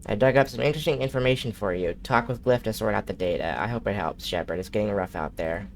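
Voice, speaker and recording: A man streaming a video game who reads in-game messages aloud in a steady, unhurried way, with a nasal voice and occasional slips. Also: lowish voice, slight background noise. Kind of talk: reading in-game messages aloud during a live gameplay stream.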